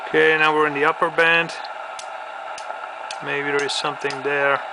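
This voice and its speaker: Man, slightly high voice, casual gear demo, laid-back and understated, loud static and hiss behind. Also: level tone, moderate pace. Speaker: radio enthusiast demonstrating equipment. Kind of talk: casual hands-on product demonstration. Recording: very noisy, radio static behind voice. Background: CB radio hiss and static.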